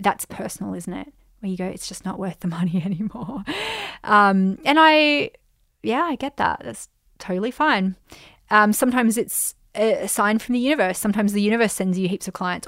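An Australian woman in her thirties hosting a solo podcast, chatty and animated, her voice getting a bit croaky. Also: mid-range voice, no echo, clean studio sound. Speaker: podcast host, business coach. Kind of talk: casual solo podcast, answering listener questions.

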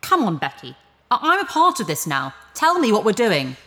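Anxious yet eager female sidekick, animated, long reverb, audio drama. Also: medium pitch, moderate pace, soft background music, full character voice. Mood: curious, insistent. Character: cautious, anxious but keen female sidekick.

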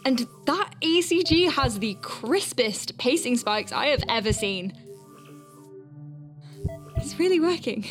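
A woman's voice: sits high, lively intonation, slow, long pauses, music in the background. An actress playing an anxious, wry woman medic piloting a tiny craft in a sci-fi audio drama, hesitant under pressure. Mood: excited awe.

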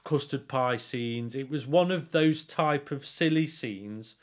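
A man's voice: medium pitch, takes it slowly. A man giving critical opinions in a casual podcast discussion, emphatic and often rephrasing himself as he goes. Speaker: theatre review podcast co-host.

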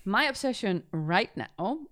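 A woman hosting a podcast, chatting casually and telling animated stories with quoted asides. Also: mid-range voice, unhurried, no room sound, studio quality. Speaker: podcast host. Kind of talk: casual chat.

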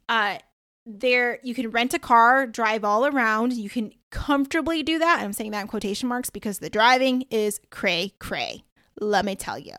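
A woman's voice: on the high side, sharp tone, no echo. Chatty woman, close and dry. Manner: chatty, upbeat, full of asides and slang. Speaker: podcast host. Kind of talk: casual solo podcast talk.

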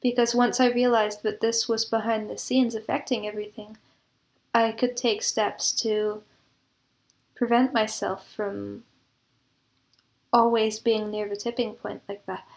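Higher-pitched, even-toned woman with creaky voice. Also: unhurried, long pauses. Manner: reflective, explains with analogies and examples. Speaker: interview guest, composer and audio drama producer. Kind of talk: explanatory interview conversation.

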